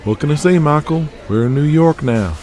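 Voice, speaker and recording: A man's voice: fairly high pitch, normal speed, darkish tone, pitched above the performer's own voice, lightly acted. A man playing an easygoing, matter-of-fact old hand of the wrestling business in an audio drama.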